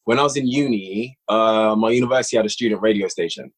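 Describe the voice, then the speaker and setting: A man's voice: fairly low pitch, quick pace, breathy edge. A man chatting casually as a podcast guest, reflective and repeating phrases for emphasis as he explains his creative work. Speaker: podcast guest, audio drama writer and director. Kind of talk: casual interview chat.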